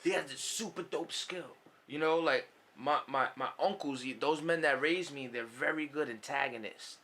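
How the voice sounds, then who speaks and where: Animated, bright-voiced New man. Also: a little high, normal speed, slight background noise. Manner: animated storytelling, heavy swearing, acts out quotes. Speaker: podcast host. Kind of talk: casual chat with an old friend.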